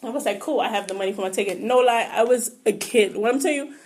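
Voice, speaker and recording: A young woman with a New York accent, co-hosting a podcast in relaxed, slangy chat. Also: on the high side, a little hiss or hum. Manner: relaxed, slangy, quick agreeing. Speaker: podcast co-host. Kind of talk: casual chat.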